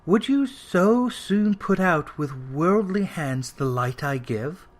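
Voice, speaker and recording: A man voicing a gentle, solemn ghost in a storybook reading, speaking softly, slowly and formally, with quiet reproach. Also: high voice, expressive. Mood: reproachful. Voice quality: soft, hushed.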